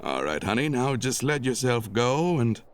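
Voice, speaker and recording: A man's voice: medium pitch, very animated, moderate pace, a little hiss or hum, close to an everyday voice. Guy as slick flirty spaceship officer, around thirty, radio drama, voice moves around a lot. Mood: flirtatious. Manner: cajoling, glib. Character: smooth-talking, flirtatious spaceship lieutenant, about thirty. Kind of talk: old-time radio sci-fi drama acting.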